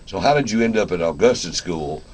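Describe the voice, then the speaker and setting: A man's voice: low voice, animated, a little fast, a little mellow, husky, poor audio. A man hosting a casual live radio interview in an informal, rambling, conversational way. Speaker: radio show host.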